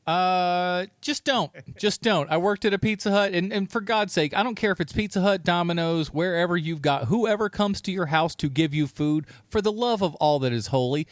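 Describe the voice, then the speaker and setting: A man's voice: high-pitched, moderate pace, hardly any pauses, no room sound, clean studio sound. An American man, middle-aged, co-hosting a podcast with casual comedic chat, acting out the lines he quotes as he tells stories. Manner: animated storyteller who acts out quoted lines. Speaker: podcast co-host.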